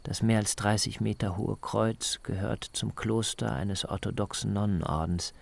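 A man narrates a radio documentary in the first person. His voice sits fairly low with a creaky, gravelly edge. He tells the story in a measured, descriptive way, as a scripted piece. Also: fairly even intonation. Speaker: radio feature author and narrator.

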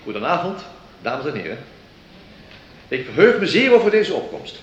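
A man's voice: fairly high pitch, very animated, moderate pace, highs cut off. An actor playing a formal, ceremonious male announcer at a school recital in a radio drama. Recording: echoey hall, noisy.

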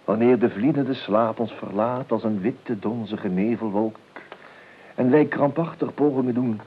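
An actor playing a grumbling, hungover, self-pitying gentleman in a Dutch radio play, halting and fussy, with lyrical flights. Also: mid-range voice, slightly rough. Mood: lyrical, peevish. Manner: halting, fussy, theatrically weary. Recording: narrow, hissy old radio recording.

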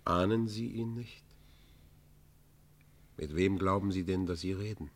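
Deep-voiced, proud, remorseful outlaw. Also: male voice, unhurried. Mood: urgent pleading. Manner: formal, declamatory, emphatic. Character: proud, remorseful outlaw robber pleading for mercy. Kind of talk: German radio play, classical literary acting.

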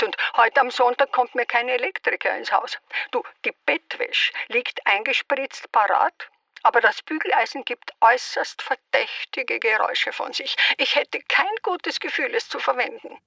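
Husky, creaky old Austrian lady. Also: a little high, moderate pace, pushed and bright, far from the mic, heavily acted. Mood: apologetic, flustered. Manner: formal, wordy, genteel. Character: elderly mother and aunt, dignified, fretful, forgetful. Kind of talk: radio play acting.

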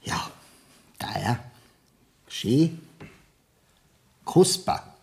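An actor playing a gruff, money-minded innkeeper in a radio play, speaking with a Bavarian accent. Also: male voice, mid-range voice, expressive, drawn-out words, exaggerated voice. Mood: grumbling. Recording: noisy radio recording.

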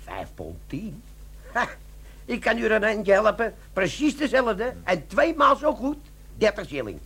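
An actor playing a wily, brazen army sergeant, a chummy smooth talker in a comic radio play. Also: male voice, high voice, wildly swooping pitch, unhurried, fairly bright tone, heavily acted. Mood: cheeky. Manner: cheeky, chummy, feigned innocence.

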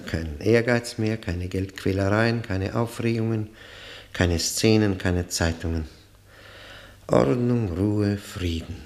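Radio drama: embittered male lifer, low gravelly voice, slow and halting, haunted, bitterly ironic. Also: a little mellow. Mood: bitter irony. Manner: deliberate, halting, repeating words with grim weight. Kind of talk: German radio play acting, dramatic monologue.

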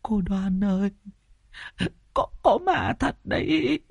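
A man's voice: sits high, big pitch swings, slow pace, gravelly, no echo, pitched above the performer's own voice, exaggerated voice. A man voicing a frightened elderly village wife, stammering and quavering as she speaks. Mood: tearful fear.

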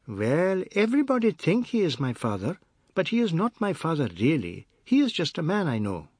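Man acting a defiant high-voiced boy. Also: wildly swooping pitch, moderate pace, a little mellow, slightly rough, no echo, clean studio sound, heavily acted. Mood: matter-of-fact. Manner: Caribbean creole grammar, cheeky retorts. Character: indignant, defiant young boy, stubborn and quick-tongued.

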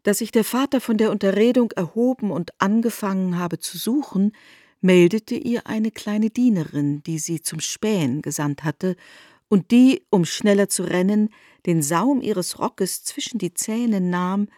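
A woman narrating a literary radio drama with articulate, expressive delivery and deliberate emphasis. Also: mid-range voice, no room sound, studio quality.

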